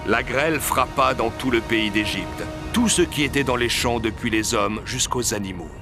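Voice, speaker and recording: A man's voice: medium pitch, normal speed. A man giving scripted narration of a story as narrator. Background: music, outdoor ambience effects.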